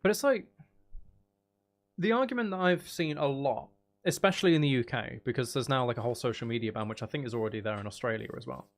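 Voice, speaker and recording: A man's voice: mid-range voice, quick pace. A man hosting a livestream, chatting casually in a sarcastic, exasperated ranting manner. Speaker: livestream host.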